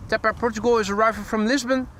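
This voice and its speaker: A Dutch-accented man with a fairly high voice hosts live airport commentary from beside a field. He chats loosely, corrects himself mid-sentence and slips in dry jokes, with faint outdoor noise and passing vehicles behind him. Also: fairly even intonation, fast talker, fairly bright tone. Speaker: plane spotting livestream host. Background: outdoor ambience, vehicles.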